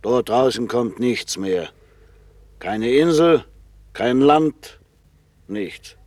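An actor playing a proud, knowing seafarer in a 1960s German radio play, with a musing storyteller's manner. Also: male voice, mid-range voice, lively intonation, a little mellow, no room sound. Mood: proud, boastful. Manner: slow, musing, storytelling, with swings from boastful to confiding.

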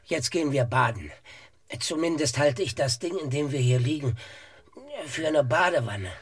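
An actor playing an eager, earnest detective's sidekick with a husky voice and clipped delivery in a radio play. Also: male voice, mid-range voice, lively intonation, moderate pace. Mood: eager deducing. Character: eager, chatty male detective's sidekick in crime parody.

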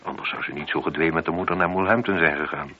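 Casting: methodical police inspector for radio drama, low voice, probing and courteous; muffled, noisy old recording. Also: male voice. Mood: pressing, firm. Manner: measured, probing, politely insistent. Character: shrewd, methodical male chief police inspector confronting a suspect. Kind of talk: radio play dialogue.

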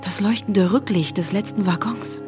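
An actress playing a sceptical, sarcastic woman in an audio drama, wry and blunt, who can fall into a hushed, trance-like delivery. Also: mid-range voice, normal speed, music in the background, close to an everyday voice. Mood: entranced, hushed. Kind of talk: German audio drama acting.

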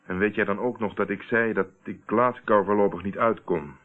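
An actor playing a steady, probing man who confronts a murderer in a radio play, speaking in a deliberate, controlled, accusing way. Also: lowish voice, natural voice. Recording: tinny, narrow-band old radio recording.

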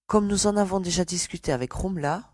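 A woman acts out a composed female envoy in an audio space opera. The voice sits low and has a soft, dark tone. The phrasing is formal and explanatory, in a dry recording. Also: brisk, no room sound, lightly acted. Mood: composed, candid. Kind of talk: science-fiction audio drama acting.

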